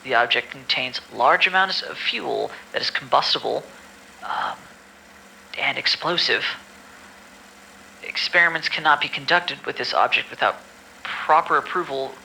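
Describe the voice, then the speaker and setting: A man's voice: mid-range voice, a little slow, vocal fry, pauses often, pushed and bright, a little hiss or hum, exaggerated voice, poor audio. A man playing an exasperated medical staffer in an audio drama, reading patient files formally with weary, grumbling asides. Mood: formal, incredulous. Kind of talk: amateur audio drama acting.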